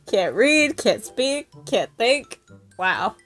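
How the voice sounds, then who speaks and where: A high, very lively female voice chats through recording outtakes at a relaxed pace. She giggles, mocks herself and swears as an amateur voice actor would between retakes. Soft music sits behind her. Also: drawn-out words. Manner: giggly, self-mocking, swearing. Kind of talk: recording session outtakes, flubbing and retaking lines.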